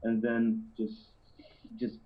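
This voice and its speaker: A man's voice: on the low side, monotone, takes it slowly. A man from Iran, a native Azerbaijani speaker, chatting casually as a caller, repeating words as he goes. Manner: repeats words, frequent "you know". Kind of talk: casual phone-in chat. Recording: thin, phone-like internet call.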